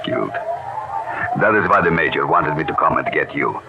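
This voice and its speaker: An actor playing a sombre, formal camp doctor with terse speech in a radio drama. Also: male voice, extremely low pitch, expressive, moderate pace, vocal fry, full character voice. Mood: sombre, controlled. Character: sombre, clipped camp doctor with secretive calm. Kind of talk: old-time radio drama acting. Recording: noisy, dull old radio recording.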